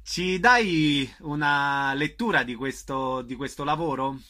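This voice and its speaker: Bright, higher-voiced male radio host. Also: slow. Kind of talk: radio interview hosting, informal address.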